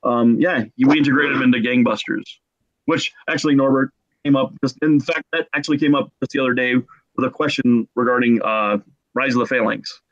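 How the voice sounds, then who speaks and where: A middle-aged man telling casual stories as a podcast guest, in an excitable, jokey manner. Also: mid-range voice, brisk. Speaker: podcast guest, game artist. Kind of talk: casual animated storytelling. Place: small room at home, video call. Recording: muffled lo-fi video call.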